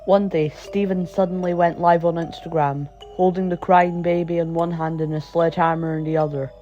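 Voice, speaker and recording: A man's voice: on the high side, little pitch movement, moderate pace, dark tone. A man reading a scripted horror story as the narrator of an online video. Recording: dull, lo-fi voice track. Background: background music.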